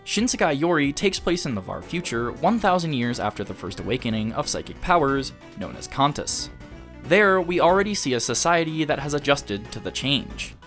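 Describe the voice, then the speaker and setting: A man's voice: medium pitch, very animated, normal speed, fairly bright tone, soft background music. A man delivering scripted video essay narration as the host of an anime review.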